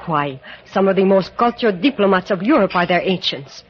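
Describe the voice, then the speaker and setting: A woman's voice: medium pitch, animated, moderate pace, husky. A woman playing a grave, pessimistic guide with a formal, foreboding manner in an old radio drama. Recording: muffled, noisy vintage radio recording.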